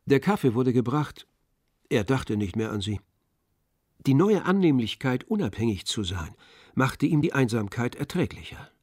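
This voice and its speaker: Male narrator, medium pitch, measured scripted storytelling, composed, close dry studio sound, radio drama. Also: big pitch swings, darkish tone, breathy edge, no echo. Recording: dry studio with faint music.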